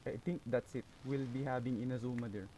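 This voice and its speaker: A man livestreaming a game, chatting casually and informally in a halting, repetitive way. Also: medium pitch, fairly even intonation, mellow, a little hiss or hum, low-quality recording. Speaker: game streamer.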